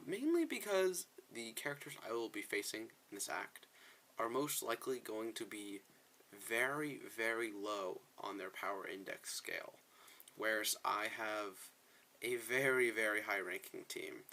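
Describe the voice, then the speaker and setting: A man's voice: fairly low pitch, sharp tone. A man hosting a gaming video with casual gameplay commentary, often repeating words for emphasis.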